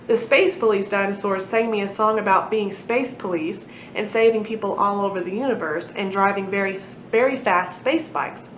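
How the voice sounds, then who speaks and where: A woman's voice: normal speed, hardly any pauses. A woman reads a children's book aloud in a chatty, informal way. Her mid-range voice sits in an echoey room, slightly muffled, with background noise. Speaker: library read-aloud host. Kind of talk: children's book read-aloud with casual asides. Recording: echoey room, muffled, noisy with low rumble.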